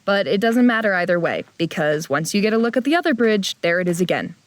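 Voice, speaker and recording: A woman's voice: medium pitch, expressive, quick pace, natural voice. A woman playing a blunt, impatient young traveler who narrates an audio drama, dry and irritable. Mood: tense, descriptive. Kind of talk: audio fiction narration and acting.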